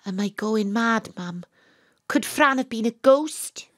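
Excitable young Welsh female sleuth, audio drama, breathless suspense, close dry studio sound. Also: fairly high pitch, slow, no echo. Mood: shaken. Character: Astrid, excitable young Welsh woman investigator, narrating. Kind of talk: audio drama narration and dialogue.

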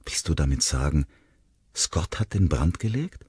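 Ein Mann spricht eine junge Frau, verletzt und wütend, mit schrillen, flehenden Ausbrüchen. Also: male voice, animated, a little slow, a little mellow, slightly rough, heavily acted. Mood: upset. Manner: shrill, pleading outbursts. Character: young woman, hurt and furious.

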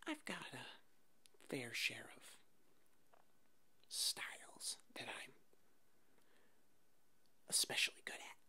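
A woman whispers breathily and slowly, playing an easygoing hairdresser in an ASMR roleplay. Her soft voice sits low and murmurs short, reassuring phrases without hurry. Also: huge pitch swings, breathy edge. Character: fuzzy monster puppet hairdresser, attentive and easygoing.

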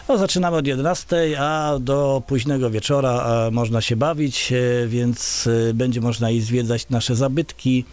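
A man's voice: medium pitch, fairly even intonation, moderate pace, few pauses, a little mellow. Man, middle-aged, village head, radio interview guest, hesitant, filler sounds, restarts, studio mic. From Poland. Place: small radio studio, indoors. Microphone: large studio microphone on a boom arm.

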